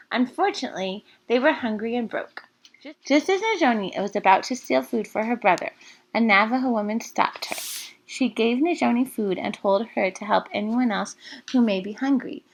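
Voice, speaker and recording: A woman's voice: on the high side, gravelly, a bit bright, no echo. A woman reading scripted narration from a children's book as host of a story time.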